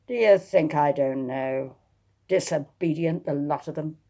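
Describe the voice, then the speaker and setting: A woman's voice: sits low, a little slow, warm tone. A woman voicing an imperious, scornful elderly aristocratic matriarch who barks in a domineering, contemptuous way, in a dramatised historical novel. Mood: angry, menacing.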